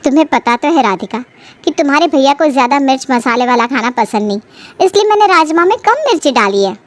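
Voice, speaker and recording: A woman voicing a calm young daughter-in-law in a cartoon family story, patiently explaining and placating. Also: sits high, quick pace, pitched below the performer's own voice. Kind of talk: animated family story dialogue.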